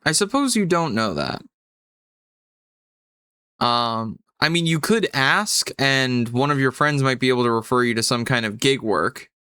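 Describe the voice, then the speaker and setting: Male game master, bright and smooth, very dry close sound, explains rules off the cuff. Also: medium pitch, lively intonation, unhurried, no room sound. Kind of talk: improvised tabletop game narration and rulings.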